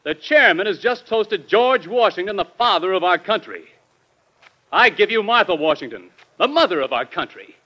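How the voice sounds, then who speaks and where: An actor playing an outspoken, earnest young gentleman, a president's son, in an old-time radio drama. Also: sits high, sing-song, moderate pace, heavily acted. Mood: boldly mocking. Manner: earnest, forthright, formally polite. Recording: muffled vintage radio recording.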